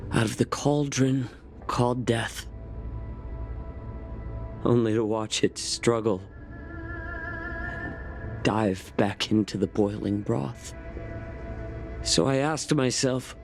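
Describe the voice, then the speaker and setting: An actor playing an embittered, grieving but resolute fox healer in a video game, with deliberate dramatic pauses. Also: male voice, medium pitch.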